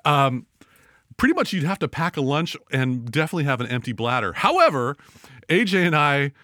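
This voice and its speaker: A man's voice: fairly high pitch, sing-song, fairly quick, bright, forceful tone, no echo, studio quality. Playful, jokey male podcast co-host. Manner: playful, jokey, upbeat delivery. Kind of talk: casual chat.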